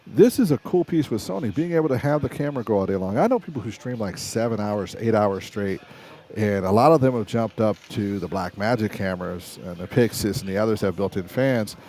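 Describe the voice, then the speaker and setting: A middle-aged man with a raspy voice, hosting a casual live stream and rambling in a chatty way with frequent restarts. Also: mid-range voice, dark tone.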